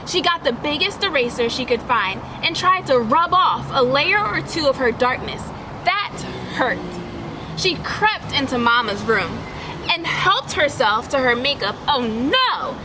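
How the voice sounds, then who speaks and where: Female teacher for children's read-aloud: high-pitched, expressive storyteller, instructive, noticeable background noise. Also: measured pace. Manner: instructional, addressing young listeners with questions. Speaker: teacher reading a picture book aloud.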